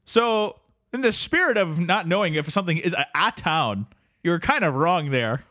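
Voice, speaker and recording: A man's voice: a little high, animated, normal speed, pushed and bright. A man putting on a jokey Canadian buddy. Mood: teasing, jokey. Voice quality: folksy, teasing, calls everyone buddy. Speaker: podcast co-host. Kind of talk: comedy podcast banter.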